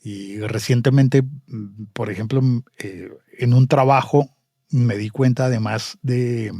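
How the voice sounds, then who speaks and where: Mellow, measured man talking. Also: medium pitch, steady tone, dry recording, studio quality. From Colombia. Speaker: podcast guest. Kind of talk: casual chat.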